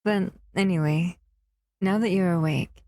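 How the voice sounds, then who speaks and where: Casting: actress as a possessive female monster for audio roleplay, unhurried and slightly low, cooing and teasing. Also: warm tone, a little hiss or hum, lightly acted. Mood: tender. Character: possessive, doting female monster, captive in a lab. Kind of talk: intimate audio roleplay acting.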